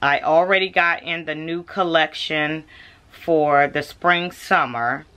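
A woman's voice: sits low, fairly even intonation, slow, a bit bright. A woman, chatting casually to camera as a vlogger and calling her viewers "y'all". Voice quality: raspy.

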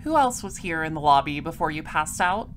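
A woman playing a cool space patrol detective in an audio drama, questioning in a clipped, deadpan way. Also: low-pitched, brisk, slight background noise. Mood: businesslike. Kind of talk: audio drama dialogue and narration.

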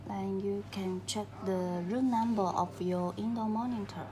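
A woman narrates a how-to tutorial at a very slow pace, one short step at a time. Her pitch is average for a woman and her phrasing is simple and halting. The recording has clear background noise. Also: steady tone. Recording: clearly noisy recording with room ambience.

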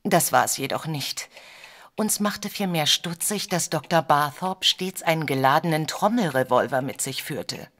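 Eine Schauspielerin spricht eine vornehme, diskrete, besorgte viktorianische Ehefrau, die als Klientin in einem deutschen Hörspiel förmlich und gemessen berichtet. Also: female voice, low-pitched, fairly bright tone. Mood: indignant, uneasy. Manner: formal, measured storytelling. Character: proper, discreet Victorian married lady, troubled client. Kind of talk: German audio drama acting.

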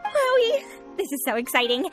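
An actress playing a bubbly, friendly young restaurant owner in a video game, upbeat and enthusiastic. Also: female voice, high voice, very animated, moderate pace, fairly bright tone. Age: young adult. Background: game music.

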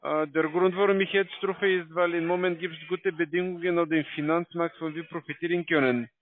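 A man with a foreign accent, a non-native speaker giving a halting, scripted sales pitch. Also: fairly even intonation, slight background noise. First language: not German. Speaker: call-centre salesman. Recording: dry, tinny phone line.

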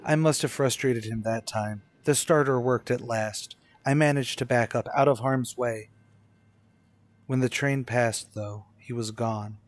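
A man's voice: medium pitch, steady tone, unhurried, lightly acted. A male actor plays a motorist haunted on the open road in an old-time suspense radio play. His mid-range voice is intense and dramatic. He grows obsessive and stumbles over words when rattled. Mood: haunted, brooding. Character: haunted cross-country motorist, man, anxious, increasingly obsessive. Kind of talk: old-time radio suspense drama acting, first-person narration and dialogue.

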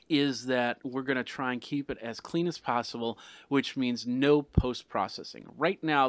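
A middle-aged man hosting a casual product review, explaining things in a halting way with restarted phrases, close on a desktop gooseneck microphone. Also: medium pitch, fairly bright tone, no echo. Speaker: tech reviewer, host. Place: small studio room with some sound treatment. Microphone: desktop gooseneck condenser microphone, close.

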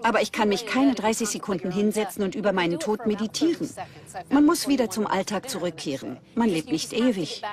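A woman's voice: mid-range voice, animated, a little fast, natural voice. A woman in a dubbed documentary conversation, speaking as one of the team.

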